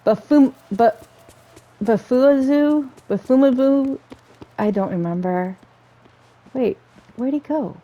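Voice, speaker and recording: A woman giving casual let's-play commentary, chatting and thinking out loud as she plays and reads bits aloud. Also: high-pitched, slow, pauses often, dull audio. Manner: chatty, thinking out loud, trails off and repeats herself. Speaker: gamer playing and reading game dialogue aloud.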